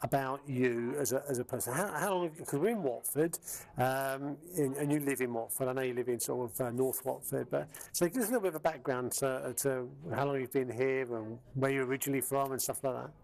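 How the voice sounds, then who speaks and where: A man's voice: mid-range voice, brisk, gravelly, few pauses, a little mellow. A man hosting a casual business interview, asking rambling questions with frequent restarts. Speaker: interviewer, business club host. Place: hotel meeting room.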